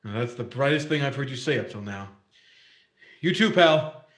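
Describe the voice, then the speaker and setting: A cool, sneering gunman taunts his hostage in a vintage radio thriller, played by a man. His tough-guy drawl is smug and sarcastic, at a middle pitch. The room gives his voice a roomy echo. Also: expressive, normal speed, a bit bright, lightly acted. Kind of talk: 1950s radio drama acting.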